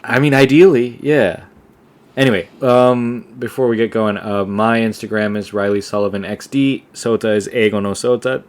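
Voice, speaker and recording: A young man co-hosting a podcast, talking casually in a relaxed, rambling way with lots of fillers and restarts. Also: lowish voice, moderate pace. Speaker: podcast co-host. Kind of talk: casual conversation.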